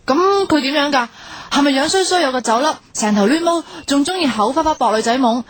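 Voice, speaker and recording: An actress playing a feisty, bossy, sharp-tongued young woman in a radio drama, speaking Hong Kong Cantonese in a blunt, expressive way. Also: high-pitched, a little fast, no room sound. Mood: curious, teasing. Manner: blunt, teasing, expressive slangy scolding. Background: background music.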